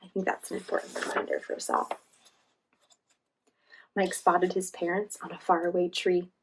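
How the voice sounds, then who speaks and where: A woman reading a children's storybook aloud as host, pausing in a teacherly way to guide young listeners. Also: fairly low pitch, flat delivery, background noise. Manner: teacherly, asks listeners questions and guides them.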